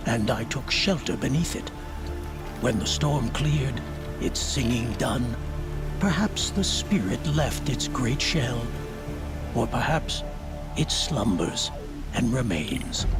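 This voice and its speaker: A solemn male shaman from a video game, speaking very slowly with ritual care. His delivery is reverent and poetic, as if honoring spirits, over clear background music. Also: mid-range voice. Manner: measured, poetic, ceremonial speech. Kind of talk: dramatic video game dialogue. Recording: music behind the voice.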